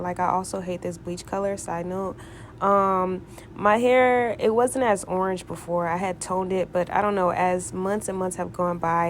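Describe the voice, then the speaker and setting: A young woman with a stuffy, cold-thickened voice, doing a relaxed, casual voiceover. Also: medium pitch, a little hiss or hum. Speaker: beauty vlogger.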